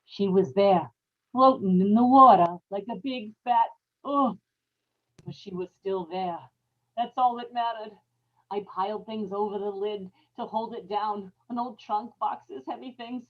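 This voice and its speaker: A woman's voice: mid-range voice, lightly acted. Woman doing guilty unhinged wife in a spooky radio play, dramatic intense storytelling, dull lo-fi audio. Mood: grim. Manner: intense, emphatic, repetitive storytelling with dramatic pauses. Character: haunted, guilty wife confessing murder, unhinged. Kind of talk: old-time radio horror acting, dramatic monologue.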